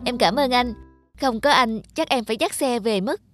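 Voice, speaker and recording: A woman's voice: a little high, moderate pace, lightly acted. Cartoon heroine, female actor: young office woman, modest, courteous, music behind the voice. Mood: grateful. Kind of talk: animated romantic drama acting.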